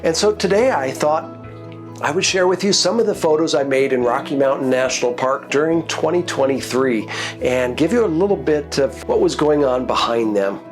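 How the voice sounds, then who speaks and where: A man, a nature photographer and host of his own channel, sounds congested from a cold as he tells the stories behind his photos in a relaxed, reflective way. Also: on the high side, normal speed, soft background music. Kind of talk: casual narrated photo recap.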